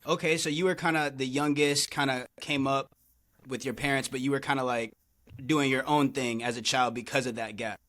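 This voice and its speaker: A man's voice: medium pitch, steady tone, brisk, fairly bright tone. A man hosting a casual interview podcast, upbeat and encouraging, often answering with "for sure, man.". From Tampa area, Florida. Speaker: podcast host.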